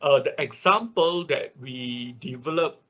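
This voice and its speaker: A man with a husky, slightly rough voice teaches a technical online class in an informal way. He explains step by step and often repeats his phrases. His pitch is average, and the audio is dull and lo-fi, as on a class call. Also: unhurried, a bit bright. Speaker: instructor of an online robotics class.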